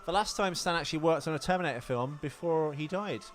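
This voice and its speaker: A man's voice: on the high side, quick pace, a little hiss or hum. A man co-hosting a film podcast in casual chat, with an irreverent, crude style of banter. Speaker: podcast co-host.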